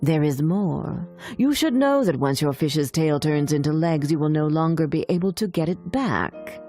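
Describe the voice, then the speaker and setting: Storybook sea witch, old and menacing, played by a female narrator: low, drawn-out, sinister, music underneath. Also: lively intonation, mellow. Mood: sinister, warning. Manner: slow, drawn-out, sinister delivery.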